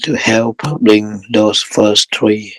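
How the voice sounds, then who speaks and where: A man leading a guided meditation as a teacher, speaking calmly in short, measured phrases with long pauses between them. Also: on the low side, vocal fry, dry recording, rough recording.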